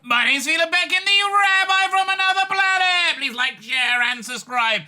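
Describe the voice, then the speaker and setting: A man's voice: extremely high pitch, animated, normal speed, background noise. A man hosting a video channel, delivering an outro that asks viewers to subscribe. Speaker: video host giving a sign-off.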